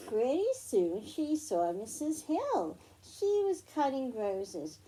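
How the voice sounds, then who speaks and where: A woman's voice: sits high, big pitch swings, slow, dark tone, a little hiss or hum, rough recording. A woman hosting a children's library storytime, reading aloud and explaining things in simple phrases for young listeners.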